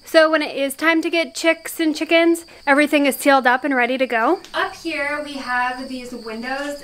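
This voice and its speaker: A woman's voice: high-pitched, a bit bright, a little hiss or hum, rough recording. A woman in her twenties from Iowa, chatting casually as she vlogs while walking through an old farm barn. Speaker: vlogger. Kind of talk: casual vlog narration. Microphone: handheld camera close to the face.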